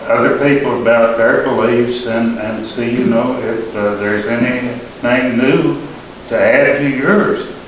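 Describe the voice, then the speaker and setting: A man speaking at a public talk in a halting way, with many pauses and fillers. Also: medium pitch, a little hiss or hum. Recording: echoey hall taped from far off.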